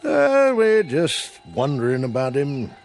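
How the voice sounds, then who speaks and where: A suspicious rural american guy, folksy slow drawl, pitch all over, faint music, radio play. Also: huge pitch swings, creaky, darkish tone, exaggerated voice. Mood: suspicious, insinuating. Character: suspicious small-town country man trading dark gossip. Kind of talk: radio drama acting.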